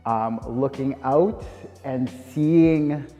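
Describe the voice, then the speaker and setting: Reverberant Canadian man in his thirties, mellow delivery. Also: mid-range voice, very slow, muffled recording. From Winnipeg, Canada. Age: 30s. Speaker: dance teacher. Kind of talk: informal interview monologue. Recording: long reverb, music underneath. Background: music.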